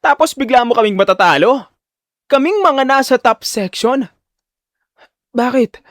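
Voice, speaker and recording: A man voicing an arrogant rich male high school student in an audio drama, sneering and condescending. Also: animated, a little slow, fairly bright tone, no room sound, studio quality, heavily acted. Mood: scornful.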